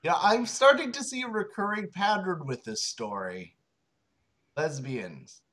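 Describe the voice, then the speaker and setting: A man co-hosting a comedic reading of fan fiction, jokey and animated. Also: high-pitched, unhurried. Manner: animated, jokey, breaks into laughs.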